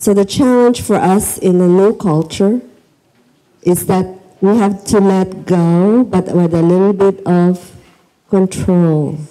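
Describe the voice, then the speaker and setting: A woman's voice: mid-range voice, fairly even intonation, slow pace, mellow, rough recording. A middle-aged woman with a Filipino accent, giving an informal welcome speech into a handheld microphone as an event host in a school library. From the Philippines.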